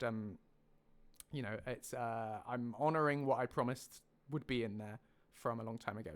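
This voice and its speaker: A man hosting a livestream, casually explaining updates to viewers in an enthusiastic, rambling way. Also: mid-range voice. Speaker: mod creator and livestream host.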